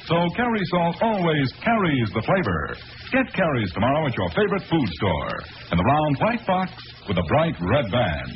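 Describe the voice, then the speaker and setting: A man announcing and pitching a sponsor in an emphatic, declamatory 1940s radio style. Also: wildly swooping pitch, moderate pace. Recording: muffled, noisy old radio recording.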